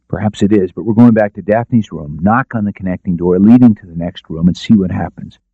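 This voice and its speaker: A man's voice: low-pitched, brisk, no room sound, phone-quality sound. A man voicing a shrewd, decisive lawyer-detective in an audiobook, giving confident, clipped orders. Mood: commanding. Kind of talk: audiobook character dialogue.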